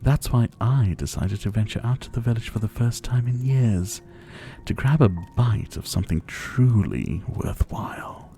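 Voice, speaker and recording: A man playing a suave, possessive vampire who was once a doctor, speaking to the listener in a theatrical, softly menacing ASMR roleplay. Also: medium pitch, expressive, a little slow, soft background music. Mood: musing, hungry. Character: older male vampire captor, former doctor, suave, possessive.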